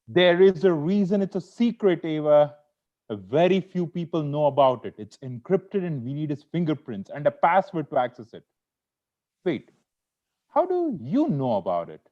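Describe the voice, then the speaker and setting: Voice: mid-range voice, very animated, normal speed, mellow, muffled recording, natural voice. A performer in an amateur table read playing a stressed, sweet-toothed lawyer husband who bickers, wheedles and pleads like he is in court. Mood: frustrated, gloomy. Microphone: video call.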